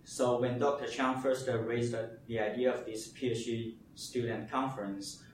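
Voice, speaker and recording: A man's voice: medium pitch, steady tone, a little hiss or hum. A man from China, a doctoral student, answering interview questions with frequent fillers. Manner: halting, with fillers. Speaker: PhD student, conference coordinator. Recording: distant mic in an echoey room.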